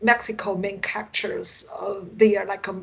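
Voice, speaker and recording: A woman's voice: mid-range voice, level tone, normal speed, vocal fry, a bit bright, breathy edge. A woman with a Chinese accent, a native Mandarin speaker, talking casually as an interview guest and often searching for words. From China. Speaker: guest, science fiction writer.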